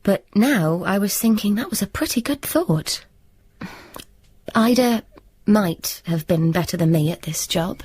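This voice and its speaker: An actress playing a wry, scheming young woman who narrates and talks in a deadpan, wordplay-heavy radio comedy drama. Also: medium pitch, a little slow, breathy edge. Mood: wry narrating.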